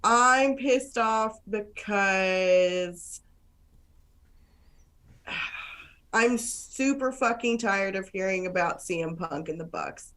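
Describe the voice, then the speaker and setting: A congested woman co-hosting a casual podcast with a sardonic edge. She talks slowly and takes her time, and her pitch sits in the middle range for a woman. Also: fairly bright tone. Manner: slow, sardonic, swears freely. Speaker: podcast co-host.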